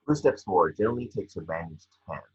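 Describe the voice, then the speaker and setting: A man's voice: deep voice, animated, fairly quick, slightly rough, dull audio. A man reading screenplay scene directions aloud as narrator, stumbling over words now and then.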